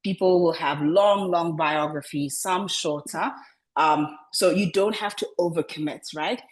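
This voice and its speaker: A woman coaching public speaking over a video call, talking in a deliberately lowered register and often repeating words as she restarts. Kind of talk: online coaching session.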